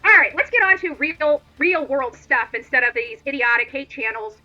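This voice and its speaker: A woman's voice: sits high, moderate pace, bright, forceful tone, dull audio, faint music behind. A woman livestream host delivering an indignant, opinionated rant in a combative, emphatic and repetitive manner.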